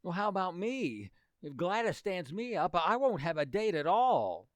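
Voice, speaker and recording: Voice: mid-range voice, big pitch swings, normal speed, dry recording, studio quality, natural voice. An actor playing an earnest, lovesick, hapless teenage boy in exclamatory, old-time radio comedy style. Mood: glum, puzzled. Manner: exclamatory, dim-witted, earnest boyish slang.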